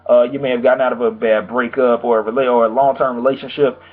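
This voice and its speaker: A young man, slightly hoarse from a sore throat, giving a rambling, casual album review full of fillers. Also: mid-range voice, level tone, quick pace. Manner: rambling, loose, full of "like" and "you know". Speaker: music reviewer. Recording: tinny phone-like sound.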